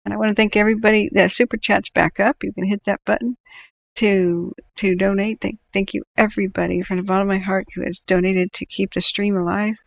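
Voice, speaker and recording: A tired woman with a gravelly, creaky voice rambles casually to her stream viewers, stopping often on ums and uhs. Her throat sounds ragged and worn out. The audio is dull and lo-fi, like a home livestream. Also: medium pitch, level tone. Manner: rambling, halting, many fillers. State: exhausted. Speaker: wildfire tracking livestream host.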